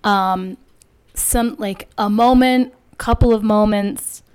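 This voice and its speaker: Smooth-voiced woman asking questions. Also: medium pitch, fairly even intonation, takes it slowly, darkish tone. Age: 30s. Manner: curious, prompting questions, brief affirmations. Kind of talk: casual conversation.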